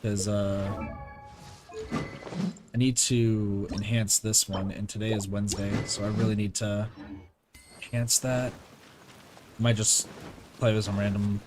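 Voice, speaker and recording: A man's voice: fairly low pitch, level tone, slow pace, long pauses. A man doing casual live game commentary as a streamer, slangy and rambling, often talking to himself. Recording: game music behind the voice. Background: game music.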